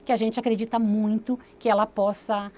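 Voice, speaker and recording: Earnest São woman, fairly high voice. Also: flat delivery, normal speed, warm tone. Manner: earnest, explanatory, with many fillers and restarts. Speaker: municipal secretary, interview guest. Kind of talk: studio interview answers.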